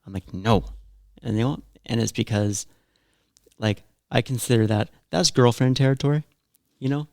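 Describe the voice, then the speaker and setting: A man's voice: mid-range voice, moderate pace, vocal fry, a little mellow, dry recording, clean studio sound. A man chatting casually as co-host, thinking aloud as he rambles and often restarting his sentences. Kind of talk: casual conversation.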